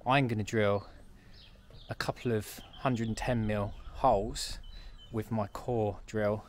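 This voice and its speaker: A man's voice: on the low side, steady tone, slow, slight background noise. A young man, casually explaining work on his self-build on an outdoor building site, recorded on a handheld action camera. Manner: practical, explaining on the go.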